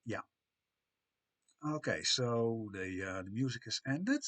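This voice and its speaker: A man live-streaming, chatting casually with viewers, reading chat aloud with a soft, soothing voice and self-deprecating humour. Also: mid-range voice, lively intonation, slow pace.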